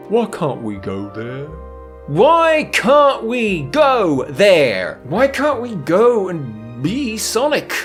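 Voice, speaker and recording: Male narrator for a scripted video essay: expressive, widely swinging delivery, theatrical sarcasm, faint music underneath. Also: mid-range voice. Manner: theatrical, sardonic emphasis.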